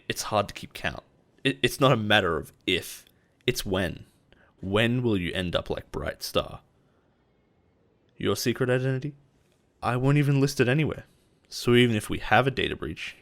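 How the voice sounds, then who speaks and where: A man playing a possessive, persuasive boss in an intimate audio roleplay, speaking in a measured, earnest way with deliberate pauses. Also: on the low side, creaky. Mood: incredulous, urgent, then coaxing. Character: possessive, persuasive male CEO boss, smooth and protective. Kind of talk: intimate dramatic roleplay monologue.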